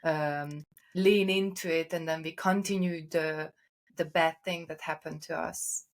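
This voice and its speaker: A woman with a slightly low voice talks casually as a podcast co-host. She is reflective and a little halting, reworking her phrases as she goes. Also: fairly even intonation.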